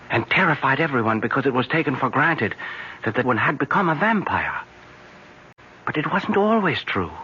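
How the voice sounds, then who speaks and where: Grave village man, urgent, ominous, deliberate, sharp forceful voice, old radio play, muffled recording. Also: medium pitch, breathy edge. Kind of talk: old-time radio drama acting.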